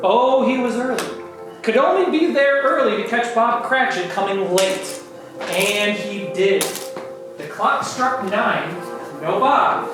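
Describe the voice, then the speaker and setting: A woman's voice: a little high, animated, slow pace. A woman narrating a comic amateur stage reading from a script. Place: live amateur reading in a reverberant room. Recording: echoey room, distant, music behind.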